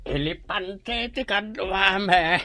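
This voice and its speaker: An actor in an Ilocano comedy radio drama playing an old man who loves to drink, talking in an animated, joking way. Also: sits high, drawn-out words, exaggerated voice.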